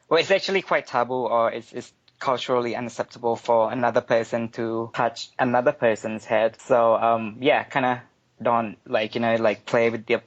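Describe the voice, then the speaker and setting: Man, casual podcast guest, hesitant, thinking aloud, average pitch, dull lo-fi audio. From Bangkok, Thailand. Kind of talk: casual interview answers.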